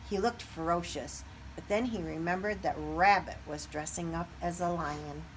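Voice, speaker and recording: A woman reading a children's picture book aloud expressively, pausing to put questions to young listeners. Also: lowish voice, a little hiss or hum, rough recording.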